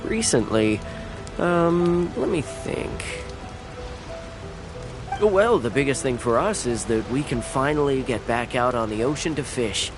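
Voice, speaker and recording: A man voicing a grateful, warm-hearted island fisherman in a video game, talking in a folksy, rambling way with hesitant fillers. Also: medium pitch, lively intonation, unhurried, close to an everyday voice. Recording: game music underneath.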